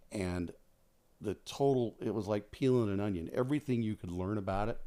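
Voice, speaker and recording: A man's voice: deep voice, gravelly, dark tone. An American man in his sixties talks casually as a podcast guest, telling stories and repeating phrases for emphasis. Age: 60s. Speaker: podcast guest, retired air traffic controller. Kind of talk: casual conversational storytelling.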